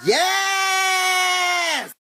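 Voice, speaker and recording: A man voicing a brash, trash-talking cartoon guy with a mouthy, excitable, slangy delivery. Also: very high-pitched, drawn-out words, shouting, heavily acted. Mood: loud, outraged. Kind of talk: comedic cartoon voice-over dubbing.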